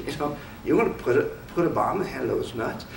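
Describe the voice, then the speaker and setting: A man's voice: mid-range voice, animated, quick pace, gravelly, a little hiss or hum. A man giving an informal lecture to an audience, speaking frankly and conversationally and asking many rhetorical questions. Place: lecture room. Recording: roomy hall, mic far off.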